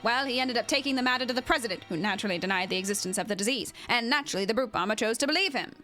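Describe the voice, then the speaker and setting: A woman's voice: fairly high pitch, very fast, pushed and bright, soft background music. A woman voicing a dramatic, indignant male wrestling announcer from a video game, speaking emphatically and formally in an amateur dub. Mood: grave. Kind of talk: amateur voice acting of game dialogue.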